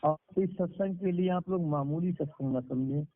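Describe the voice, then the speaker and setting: Higher-voiced male preacher on a phone line. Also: moderate pace. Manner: repeats phrases for emphasis. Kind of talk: devotional sermon with moral storytelling. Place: speaking over a conference call. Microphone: telephone. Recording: tinny phone conference-call line.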